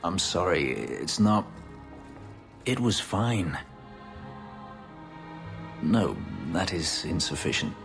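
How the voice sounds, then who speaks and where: A man playing a guarded, brooding elf and former slave in a video game, with a solemn, halting delivery. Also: animated, creaky, full character voice. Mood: anguished, regretful. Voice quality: deep, low voice. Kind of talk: dramatic video game dialogue. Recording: reverberant hall sound with music underneath.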